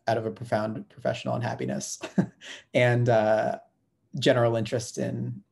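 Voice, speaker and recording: A man's voice: medium pitch, creaky, a little mellow. A young man on a headset, dry and wry while introducing himself on a video call.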